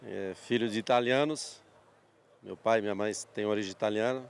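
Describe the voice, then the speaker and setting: A man in his sixties from southern Brazil with a Paraná accent, a company manager telling his life story in a measured, thoughtful way during an outdoor interview at a farm show. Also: on the low side, fairly even intonation, vocal fry, smooth. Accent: Paraná Brazilian Portuguese. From Santa Catarina, Brazil. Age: 60s. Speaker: guest, agricultural machinery dealer manager. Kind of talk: interview conversation.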